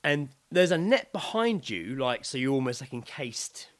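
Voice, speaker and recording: A British man co-hosting a podcast, telling a story in casual chat with sweary, excitable delivery and acting out his own reactions. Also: medium pitch, moderate pace. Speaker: podcast co-host.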